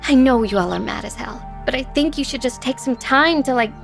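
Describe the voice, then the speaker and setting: An actress playing a feisty, caring young friend in an audio drama, emphatic and slangy. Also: female voice, high voice, a little fast, vocal fry, faint music behind. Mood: exasperated, pleading.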